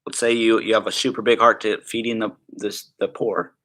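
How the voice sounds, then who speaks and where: A man's voice: lowish voice, flat delivery, brisk. A young man giving an informal teaching talk at a prayer school, conversational and rambling, often checking in with "right?" and "okay". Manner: conversational, rambling, laughs softly, asks the room "right?".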